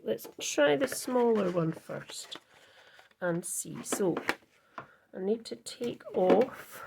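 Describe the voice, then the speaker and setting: A woman hosting her card-making channel, chatting casually through a crafting tutorial with a croaky voice, rambling and correcting herself as she goes. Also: mid-range voice, darkish tone, slight background noise. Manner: rambling, self-correcting, many ums. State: croaky, husky voice.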